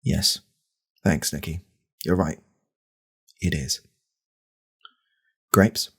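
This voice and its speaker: A man playing a creepy, self-serious right-wing podcast host in a comedy parody, deadpan and halting, with a gravelly, husky voice. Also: rumbling low, exaggerated voice. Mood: solemn.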